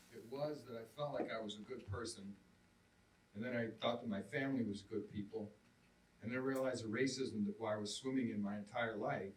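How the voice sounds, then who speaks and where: A man sharing personal reflections on a panel in an earnest, measured way. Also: mid-range voice. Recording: echoey, distant mic with faint noise.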